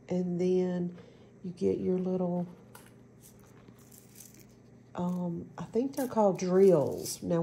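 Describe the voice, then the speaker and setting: A woman's voice: mid-range voice, gravelly, mellow, slight background noise. A middle-aged woman with a stuffy cold, chatting casually through a craft demo at home and rambling with lots of ums. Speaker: crafting channel host.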